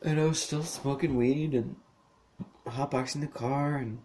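A man's voice: medium pitch, steady tone, a little slow, mellow, a little hiss or hum. A man in his thirties talking on his own in a rambling solo monologue, with lots of fillers, restarts and casual swearing. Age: 30s. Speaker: podcast host.

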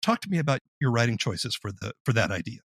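A man's voice: mid-range voice, very animated, quick pace, fairly bright tone, slightly rough, dry recording, clean studio sound. A man interviewing a guest on a podcast in a conversational style.

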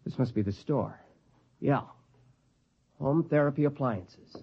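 Jittery, husky, tormented man. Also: medium pitch. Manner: agitated, halting, insistent. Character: jittery, tormented man gripped by an urge to kill. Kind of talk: 1950s radio drama acting. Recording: muffled 1950s radio drama recording.

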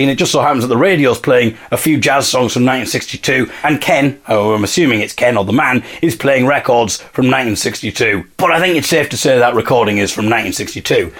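A man presents an informal investigation as host, puzzling things out aloud. His voice is slightly husky and moves about in pitch in a lively way. He questions himself and circles back over points to stress them. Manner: musing, self-questioning, repeats points for emphasis. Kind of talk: informal spoken investigation, thinking aloud to listeners.

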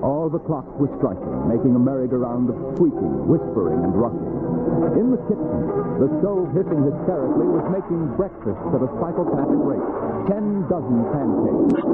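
A man acting as an ominous storyteller in a 1950s science-fiction radio drama, with theatrical, deliberate phrasing. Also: mid-range voice. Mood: urgent, grave. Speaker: narrator. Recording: tinny 1950s radio, music behind.

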